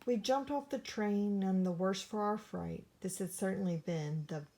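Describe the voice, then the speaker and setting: A woman reading a rhyming children's story aloud in an expressive, theatrical storytelling manner. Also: mid-range voice, normal speed. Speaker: storybook reader.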